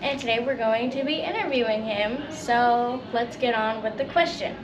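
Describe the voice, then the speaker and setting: A woman's voice: on the high side, moderate pace, highs cut off, noisy background. A woman vlogging and asking interview questions in a casual, chatty style.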